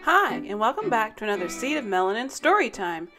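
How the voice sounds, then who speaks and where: A woman hosting story time, reading a rhyming children's picture book aloud in a dramatic, deliberate way. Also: on the high side, big pitch swings, over loud music. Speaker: story time host and narrator.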